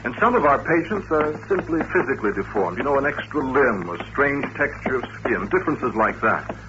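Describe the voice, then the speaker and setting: An actor playing a courteous, patient doctor who runs an institution, explaining things politely and with measure in an old-time radio drama. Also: male voice, medium pitch, sing-song, fairly quick, natural voice. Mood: earnest, explanatory. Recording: dull old radio recording, faint hiss.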